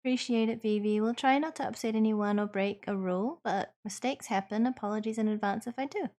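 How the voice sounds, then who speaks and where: Woman, New Zealand accent, streamer, earnest, reassuring, thoughtful pauses. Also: mid-range voice, darkish tone. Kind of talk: casual chat answering viewers.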